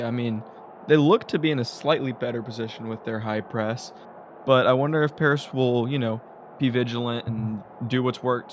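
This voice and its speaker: Chatty male color commentator, noisy. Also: mid-range voice. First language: English. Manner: chatty, analytical, excitable. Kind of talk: casual sim soccer commentary.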